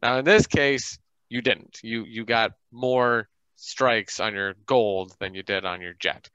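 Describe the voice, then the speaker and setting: A man's voice: on the low side, steady tone, takes it slowly, bright, forceful tone, smooth, dry recording. A man casually explaining rules and dice during a tabletop game on a group video call. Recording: dull band-limited online call.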